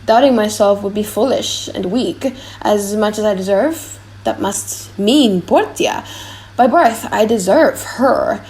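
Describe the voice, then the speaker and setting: A woman's voice: medium pitch, a little slow, darkish tone, lightly acted, rough recording. A woman voicing a proud, lovestruck foreign prince in a dramatic audiobook play, grandly thinking aloud. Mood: proud, deliberating. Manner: grandiose, self-deliberating.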